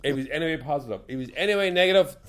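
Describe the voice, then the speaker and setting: Animated Irish man, sweary storyteller. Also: fairly high pitch, very fast. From Ireland. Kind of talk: casual chat.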